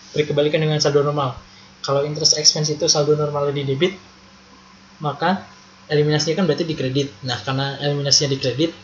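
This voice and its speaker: A young man with a fairly high voice teaches in a casual tutorial style. He goes step by step, asking questions and answering them himself, with fairly even intonation. The recording is slightly dull, with faint noise behind him. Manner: step-by-step, conversational, rhetorical questions. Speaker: accounting tutor. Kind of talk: casual explanatory video lesson. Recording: muffled lo-fi with faint background noise.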